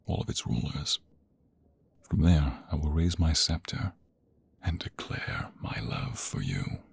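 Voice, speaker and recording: A man playing a devoted, regal king in love in a romantic ASMR audio drama, speaking intimately in a breathy voice with courtly phrasing. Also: full character voice. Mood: tender, devoted. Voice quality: deep, breathy, often whispering.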